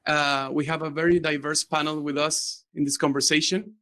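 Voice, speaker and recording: A man from Chile, moderating a panel in a formal, halting way that often repeats words. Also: on the high side, level tone, sharp tone. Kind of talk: moderating an online panel discussion.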